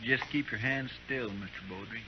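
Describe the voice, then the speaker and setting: An actor playing an earnest, deferential, worried deputy in a 1950s radio western. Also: male voice, medium pitch, moderate pace, lightly acted. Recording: muffled, noisy old radio recording.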